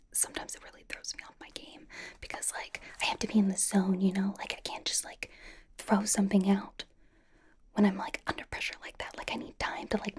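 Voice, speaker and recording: Bubbly young female K-pop idol, played by a woman, ASMR roleplay. Whispered, mellow, casual, confiding and chatty. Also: mid-range voice, natural voice. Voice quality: breathy, mostly whispered. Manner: rambling, casual, confiding, with drawn-out emphasis. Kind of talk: ASMR personal attention roleplay.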